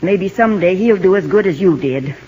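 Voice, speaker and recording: An actress playing a stern, plain-spoken, middle-aged farm widow, folksy and dry, in a 1950s radio drama. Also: female voice, fairly low pitch, expressive, a little fast, close to an everyday voice. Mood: wary. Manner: folksy, dry, terse, skeptical. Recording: dull old radio broadcast recording.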